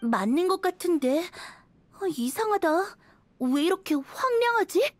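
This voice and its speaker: A woman playing a spirited, upbeat young woman musician in a video game, friendly and expressive. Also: very high-pitched, unhurried, no room sound, faint music behind, exaggerated voice.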